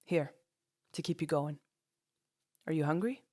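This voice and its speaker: A woman voicing a kindly male deputy in an audio story, gentle and matter-of-fact. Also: low-pitched, a little slow, no echo, studio quality.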